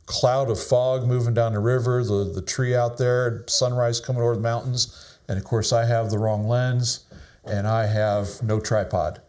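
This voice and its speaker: Middle-aged guy chatting about his pics, mid-pitched, laughs a lot, kinda rambly. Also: little pitch movement, normal speed, a little mellow. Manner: laughs often, modest and rambling. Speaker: photographer showing his photos. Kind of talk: casual chat.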